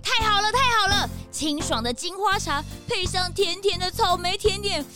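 A woman with a Taiwanese Mandarin accent voicing an excitable, cheeky young boy sidekick in a children's detective audio drama, with a dramatic, whiny delivery. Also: very high-pitched, moderate pace, over music. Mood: eager.

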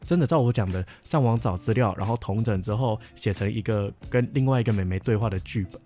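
Music plays behind a young man with a fairly low voice. He speaks Taiwanese-accented Mandarin in a casual podcast style, explaining things at length in a reflective, hedging way. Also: fast, dark tone. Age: young adult. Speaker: camp counselor sharing experiences. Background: background music.

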